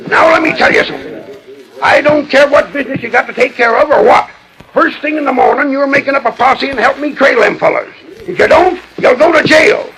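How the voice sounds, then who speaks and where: An actor playing a hot-tempered western sheriff who barks in a folksy drawl, in 1940s radio style. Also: male voice, very high-pitched, brisk, a crowd in the background, poor audio. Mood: furious, scolding. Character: blustering, hot-tempered old-west sheriff and lynch mob men. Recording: old radio transcription disc, background commotion.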